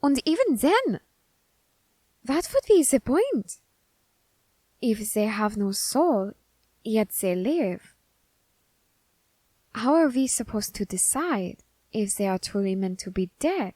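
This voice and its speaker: A woman playing a scholarly, quietly menacing Grim Reaper in an ASMR roleplay, speaking in formal, deliberate phrases with pauses. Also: on the high side, sing-song, no echo, exaggerated voice. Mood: grave, confiding. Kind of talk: ASMR character roleplay audio drama.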